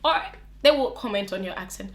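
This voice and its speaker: A woman's voice: medium pitch, lively intonation, fairly quick. A young woman with an accent, chatting animatedly to her camera, laughing often and restarting her sentences. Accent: non-native English accent. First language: English counts as mother tongue, not from England or America. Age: young adult. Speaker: vlogger. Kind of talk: casual talk to camera.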